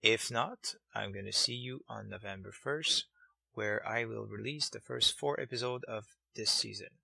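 A man's voice: on the low side, normal speed, vocal fry, pushed and bright, slightly rough, no echo, low-quality recording. A man hosting a game development tutorial series, narrating casually in a voice-over. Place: close, dry voice-over over screen capture.

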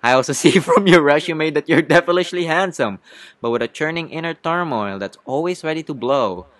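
A vain, explosive tough-guy actor put on by a man for a cartoon story. He threatens forcefully, with pitch pushed up and volume high. The recording is dry. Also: brisk, no echo, natural voice. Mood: smug. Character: volatile, vain tough-guy male actor, ready to blow.

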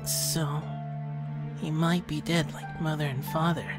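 A woman's voice: low-pitched, level tone, measured pace, over loud music. A woman voicing a headstrong young boy in an amateur audio drama. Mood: curious, earnest.

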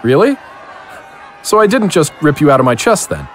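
A man's voice: a little high, very animated, normal speed, some chatter behind, a little hiss or hum. A man playing a hard-bitten, distrustful occult hero, blunt and confrontational, in an audio drama. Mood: angry distrust.

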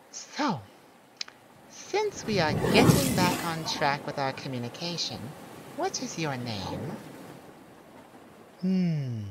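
A performer voicing a proud, lonely male siren in a scripted ASMR roleplay, speaking theatrically and teasingly to one listener. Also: mid-range voice. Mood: softened, curious. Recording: steady background noise of beach ambience. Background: beach and ocean sounds.

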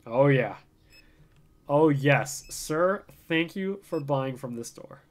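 Young man streaming, lively chatty pitch. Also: slow pace. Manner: chatty, talks to himself while playing, excited bursts. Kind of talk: casual let's-play commentary.

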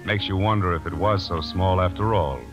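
An actor playing a folksy, wistful small-town storyteller narrating a 1940s radio drama. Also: male voice, low voice, a little fast, lightly acted. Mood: gently wistful. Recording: dull old 1940s radio broadcast. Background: music.

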